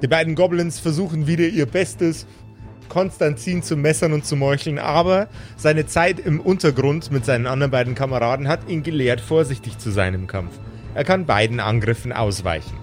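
A man with a slightly high voice runs a tabletop game. He tells the story dramatically and deliberately, then drops into casual asides. Soft music plays behind him. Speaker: game master. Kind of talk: live improvised tabletop game narration. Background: background music.

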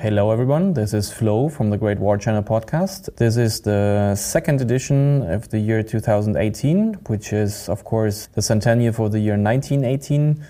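A man hosts a history podcast and introduces and questions his guest. His voice is low-pitched and mellow. He speaks in a friendly, halting way, full of ums and uhs. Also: soft background music. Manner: friendly, halting, many fillers. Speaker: podcast host. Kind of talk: podcast interview.

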